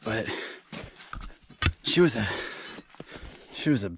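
Man, 30s, folksy and relaxed, outdoor selfie-camera audio, light noise. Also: lowish voice, slow pace, vocal fry. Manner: laid-back and folksy. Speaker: homesteading vlogger. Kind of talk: casual on-camera narration. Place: outdoors at a forest homestead. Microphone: camera held at arm's length.

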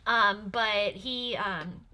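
A young woman with a bright, crisp-edged voice at a middle pitch. She talks casually, commenting on a TV episode the way a longtime fan does. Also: drawn-out words. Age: young adult. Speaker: reaction show guest and longtime fan. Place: small cosy room indoors.

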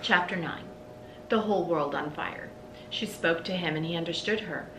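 A middle-aged woman reading a children's storybook aloud expressively, like a teacher, with deliberate pauses between phrases. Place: indoors in a room with bookshelves. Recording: roomy echo, faint low rumble.

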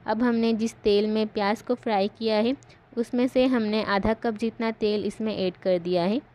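A woman host giving calm, step-by-step voice-over narration for a home cooking recipe. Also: medium pitch, normal speed.

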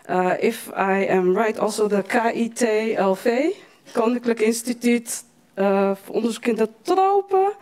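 A woman's voice: a little high, darkish tone. A woman, a Tamazight speaker, moderating a panel at a podium microphone, with frequent fillers. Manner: hesitant, with many fillers and restarts. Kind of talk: introducing panel speakers at a public event. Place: lecture hall, at a podium.

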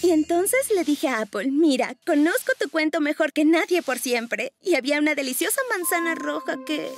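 A woman's voice: sits high, animated, moderate pace. A woman voicing a chatty, devoted teenage princess and big sister in a Latin American Spanish cartoon dub. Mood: chatty, eager. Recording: music behind the dialogue.

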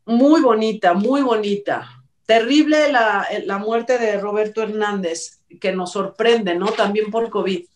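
A woman's voice: medium pitch, unhurried. A middle-aged Mexican woman hosting a conversational entertainment-news talk show over a video call. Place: indoor room, on a video call. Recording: roomy, echoey video-call sound.

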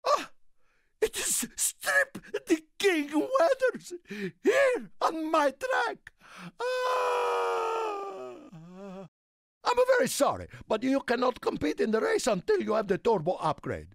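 An actor playing an excitable, friendly little Italian car in a video game, with an Italian accent and a theatrical, effusive manner. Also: male voice, very high-pitched, takes it slowly, vocal fry, dry recording, clean studio sound, full character voice. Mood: thrilled, grateful. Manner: theatrical, effusive, speaks of himself in third person. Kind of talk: video game character voice lines.

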